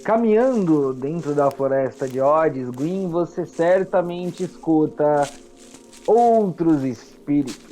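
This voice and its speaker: A Brazilian game master narrating a tabletop roleplaying game with dramatic, unhurried pauses. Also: medium pitch, expressive, mellow. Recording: muffled lo-fi audio with music. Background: music.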